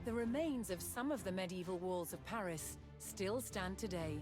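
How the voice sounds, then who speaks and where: Female documentary narrator over loud music. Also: medium pitch, normal speed. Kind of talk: scripted historical documentary narration. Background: loud background music.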